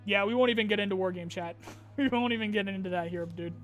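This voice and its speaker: Casual male streamer, high pitch, a bit tired and strained, reads chat questions and riffs with lots of ums, faint music behind. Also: fast, fairly bright tone. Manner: reads viewer questions aloud then answers casually with fillers.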